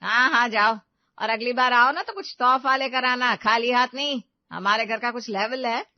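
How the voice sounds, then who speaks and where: Woman putting on nagging older mother-in-law, high-pitched, bossy, contemptuous, storybook drama, dry slightly dull sound. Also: moderate pace, no echo, lightly acted. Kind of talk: Hindi audio story character acting.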